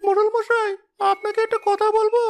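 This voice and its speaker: A woman's voice: very high voice, flat delivery, fairly quick. A woman voicing an eager, respectful young village shop seller in a cartoon. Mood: polite, curious. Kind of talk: high-pitched cartoon dubbing.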